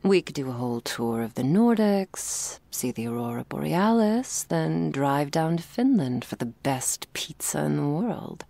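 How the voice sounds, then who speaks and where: A woman playing an affectionate, wry girlfriend in an intimate ASMR roleplay, talking softly and teasingly to her sleepy partner. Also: deep voice, big pitch swings, measured pace, vocal fry, few pauses, no echo, heavily acted. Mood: playful, animated. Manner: soft, teasing, drifting between whispers and playful chatter.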